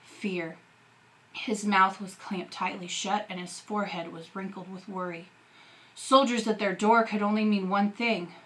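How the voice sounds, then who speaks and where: Bright-voiced woman reading a novel aloud. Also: medium pitch, steady tone, a little slow, rough recording. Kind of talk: expressive audiobook-style narration of a novel.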